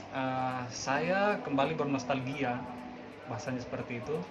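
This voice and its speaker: Chatty man, dull lo-fi sound, music under. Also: mid-range voice. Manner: friendly, chatty, frequent "eh" hesitations. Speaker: host. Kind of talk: casual vlog talk and online interview.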